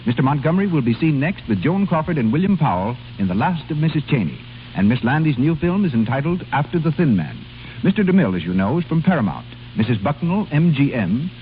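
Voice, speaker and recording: A man's voice: on the high side, sing-song, quick pace. An American man reading scripted announcements as a 1930s radio announcer. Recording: tinny 1930s radio recording, faint hum.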